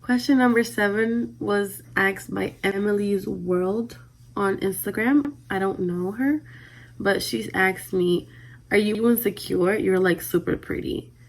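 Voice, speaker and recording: Woman, Dominican accent, twenties, casual chatty vlog talk, soft trailing delivery. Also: medium pitch, unhurried, poor audio. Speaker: vlogger.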